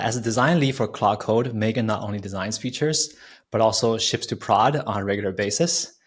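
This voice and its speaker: Low-voiced man hosting a casual interview. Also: a bit bright. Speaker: interview host.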